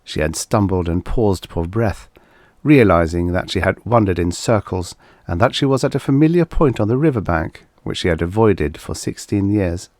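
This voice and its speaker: A man narrating a vintage science fiction story as an audiobook reader, in a dramatic, expressive storytelling manner. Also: low voice, moderate pace, dry recording, studio quality.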